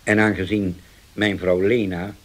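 An actor playing a solemn older uncle in a 1970s radio drama, speaking slowly and deliberately with a husky voice that sinks to near-whispers. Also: male voice, on the low side, level tone. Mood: grave. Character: old uncle, formerly dangerous, now solemn and changed.

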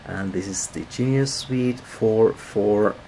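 A man giving a casual, unscripted hotel room walk-through as a travel blogger, halting and repeating words, recorded on a handheld camera. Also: lowish voice, gravelly, mellow, a little hiss or hum, poor audio. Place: indoors, moving around a hotel room. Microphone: handheld camera, operator behind it.

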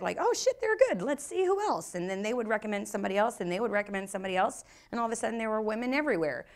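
A middle-aged American woman speaking casually and bluntly as an interview guest on stage, swearing freely and acting out quotes. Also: medium pitch. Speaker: improv teacher and performer, interview guest. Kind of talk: casual, animated interview talk. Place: on stage in a small dark theater.

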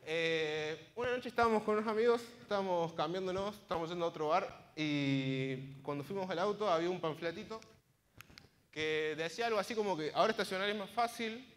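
A man's voice: high-pitched, fairly quick, fairly bright tone. A man in his twenties with an Argentine Spanish accent, giving an informal conference presentation in a casual, colloquial storytelling manner. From Córdoba province, Argentina. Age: 20s. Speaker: conference speaker, software engineer. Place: large auditorium stage, indoors. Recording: reverberant hall sound from a stage.

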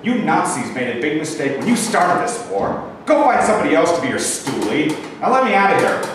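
Brash, argumentative American POW, lively swings in pitch, stage reading in a reverberant hall, played by a young man. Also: brisk. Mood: hurt, defiant. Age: young adult. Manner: combative, slangy wise guy. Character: brash, stubborn American POW, Brooklyn Dodgers fan. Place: indoor rehearsal room, camera mic at a distance. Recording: very echoey room, distant mic, faint noise.

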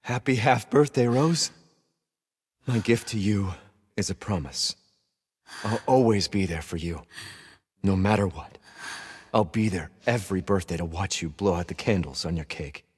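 Game father, tender and devoted, low husky voice, long reverb. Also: measured pace, long pauses. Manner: gentle, affectionate. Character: tender, doting young father musing about his baby daughter. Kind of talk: reflective video game voice acting.

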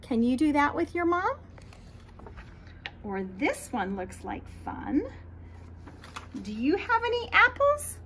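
A middle-aged American woman reading a picture book aloud to young children in an expressive, sing-song way, outdoors on a patio. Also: sits high, takes it slowly, long pauses. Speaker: children's read-aloud host, teacher. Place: small backyard patio, outdoors. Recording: light outdoor background noise.

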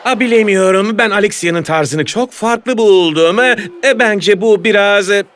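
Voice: medium pitch, measured pace, fairly bright tone, close to an everyday voice. An actor voicing a cool, confident young male pop-star dog in a Turkish children's cartoon dub, with a breezy, showy delivery. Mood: judging, hesitant.

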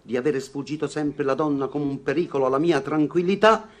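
An actor playing an awkward, earnest gentleman newly in love, speaking theatrically in a vintage Italian radio comedy. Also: a little high, fairly quick, natural voice. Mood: pleading, agitated. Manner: theatrical, hesitant declarations.

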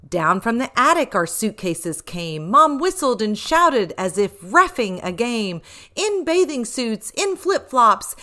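Storybook reader: woman, bright highish voice, expressive and rhythmic, for a rhyming children's tale. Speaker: picture book reader.

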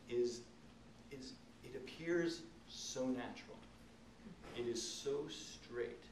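A middle-aged man speaking as an author at a public talk, explaining and telling stories in an earnest, emphatic way with halting pauses. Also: mid-range voice, darkish tone. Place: indoor event room, seated on stage. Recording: roomy, distant camcorder sound, faint noise.

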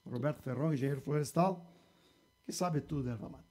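A man's voice: medium pitch, fast, darkish tone. A man in his forties with a gaúcho accent from southern Brazil, hosting a local studio show and chatting casually with viewers. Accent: Rio Grande do Sul gaúcho Portuguese. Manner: chatty, sprinkles "tá?" and "né?". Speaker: TV show host. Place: small TV studio with banners.

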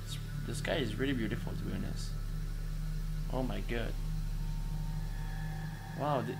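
A young man speaks English as a second language, restarting his words and exclaiming as he plays. He talks into a headset mic in a small room at a middling pitch. Game music runs clearly underneath. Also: unhurried, poor audio. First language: not English. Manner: excitable, hesitant, frequent exclamations. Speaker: gaming channel host. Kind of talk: casual gameplay commentary.

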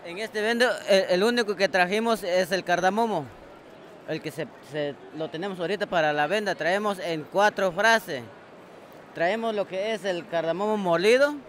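A young man with a Guatemalan Spanish accent speaks with a fairly high voice, answering questions about his produce in halting, simple phrases. He talks into a handheld mic at a busy indoor trade fair, with a faint background hum. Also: a bit bright. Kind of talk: interview answers. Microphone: handheld interviewer mic.

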